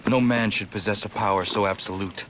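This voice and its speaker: An actor playing an earnest, righteous young rebel warrior in a video game, speaking in solemn, defiant declarations. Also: male voice, fairly low pitch, steady tone, brisk, background noise, natural voice. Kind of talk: dramatic video game acting. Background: loud music.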